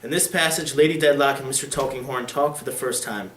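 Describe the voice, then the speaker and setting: A man's voice: medium pitch, fairly quick, a bit bright, distant mic, a little hiss or hum. A teenage boy giving an earnest dramatic reading of a classic novel, with occasional slips. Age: late teens. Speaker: student reader. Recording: echoey room.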